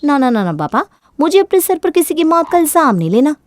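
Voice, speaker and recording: Woman playing a sharp-tongued, high-voiced young wife. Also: big pitch swings, fairly quick, no echo. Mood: irritated, defiant. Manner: sharp-tongued, demanding, argumentative. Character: self-centred, smooth-talking young married woman, bossy and defiant.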